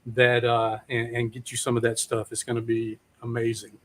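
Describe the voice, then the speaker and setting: A man's voice: medium pitch, monotone, normal speed. A man hosting a casual, rambling chat in a folksy, laid-back, profane way. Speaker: podcast host.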